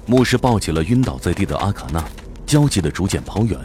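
A man's voice: low-pitched, sing-song, quick pace, a little mellow, slight background noise. A man playing a solemn storyteller, giving dramatic scripted narration of a fantasy audio drama.

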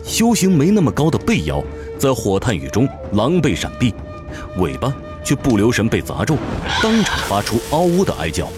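A man delivers dramatic scripted fantasy narration. His mid-range voice rises and falls with great animation. Music plays clearly behind him throughout. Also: mid-range voice, huge pitch swings, moderate pace.

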